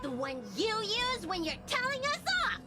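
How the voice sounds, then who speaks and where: A woman's voice: very high voice, sing-song, normal speed, full character voice. A woman voicing a petulant, possessed little girl in a game cutscene, whiny and childish. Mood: menacing. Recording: voice over loud music. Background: loud game score music.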